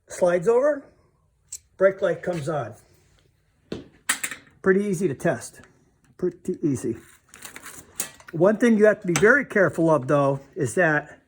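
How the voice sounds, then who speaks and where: A man's voice: fairly high pitch, breathy edge. A man giving a casual, plainspoken repair demonstration, thinking aloud informally as he handles parts. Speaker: DIY car repair demonstrator.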